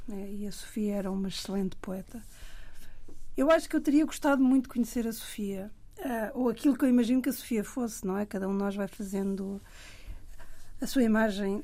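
A woman's voice: a little high, dark tone. A woman writer with a European Portuguese accent, chatting reflectively and self-deprecatingly, often restarting her sentences. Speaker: writer and panel guest. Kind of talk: casual conversation.

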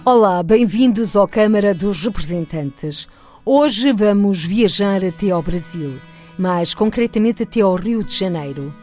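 A woman presents in a measured, formal read. Light music sits faintly beneath her scripted narration. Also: mid-range voice, lively intonation, warm tone.

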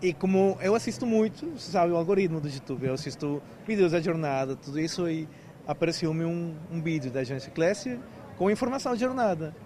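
A man's voice: a little high, lively intonation, fairly quick, darkish tone. A man of about thirty from Mexico, a native Spanish speaker with a Brazilian-style Portuguese accent, chatting as a volunteer in an interview with many fillers and restarts. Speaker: event volunteer. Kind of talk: casual interview answers.

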